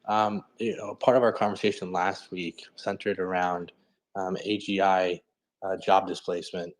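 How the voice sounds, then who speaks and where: A man with a deep voice explains things conversationally as a panelist. He is hesitant and halting, feeling his way through his sentences. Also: flat delivery.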